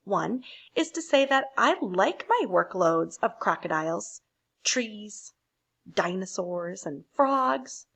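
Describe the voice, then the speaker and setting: A woman's voice: mid-range voice, very animated, slow, vocal fry, a bit bright, husky, voice pushed down, heavily acted. Woman as a polite, contented green crayon. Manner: formal, courteous.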